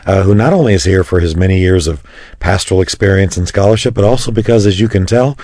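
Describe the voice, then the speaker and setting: Man, radio host, raspy, low voice, rapid talk, dry close mic. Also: no room sound. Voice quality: raspy today. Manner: warm conversational chat, some stumbles. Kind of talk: casual radio chat.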